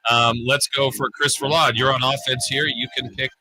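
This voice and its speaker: A man hosting sports talk radio, running a quiz game with lively banter. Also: medium pitch, moderate pace, slight background noise, poor audio. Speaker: radio show host.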